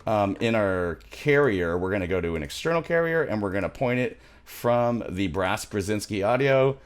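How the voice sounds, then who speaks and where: A middle-aged man with a fairly low voice walks through music software as a tutorial host. He is informal and loose, thinking aloud as he works at a studio microphone in a small home room. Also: animated, moderate pace, clean tone.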